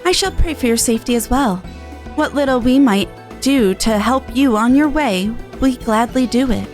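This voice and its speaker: A woman's voice: a little high, normal speed, close to an everyday voice. A woman voicing a gracious, formal festival envoy in a fantasy game, with courteous old-fashioned phrasing. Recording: game music behind the voice.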